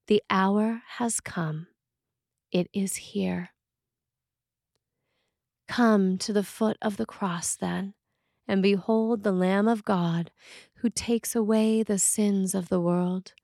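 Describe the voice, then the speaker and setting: A woman priest reading a scripted sermon in a measured, deliberate and solemn manner. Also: medium pitch, steady tone, vocal fry, darkish tone, no room sound, clean studio sound. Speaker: Episcopal priest reading a sermon written by a colleague. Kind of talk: read sermon, scripted narration.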